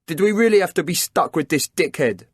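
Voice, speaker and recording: A man's voice: a little high, expressive, a little fast, no echo, studio quality, pitched above the performer's own voice. A man voicing an irritable young male soldier who speaks bluntly. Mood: irritated. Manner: gruff, curt.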